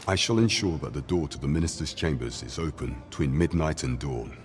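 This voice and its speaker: Loyal palace officer in a fantasy game, super low voice, formal and serious, music playing behind. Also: male voice, lively intonation, fairly quick. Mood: grave, urgent. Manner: formal, deferential archaic speech. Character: grave, loyal male royal officer, discreet and formal. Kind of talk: scripted fantasy game dialogue.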